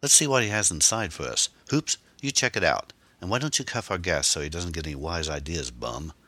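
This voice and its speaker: A man's voice: sits low, animated, brisk, pushed and bright, no echo, exaggerated voice. A man voicing a mockingly polite, menacing teenage English gang leader in an audiobook, chirpy and taunting. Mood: mock friendly.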